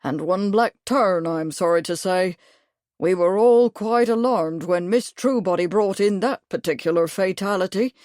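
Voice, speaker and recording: A woman's voice: mid-range voice, a little slow, a little mellow, dry recording, studio quality, lightly acted. A woman voicing a fussy, pompous older male retired major who talks at length. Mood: mournful. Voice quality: pompous, fussy, long-winded.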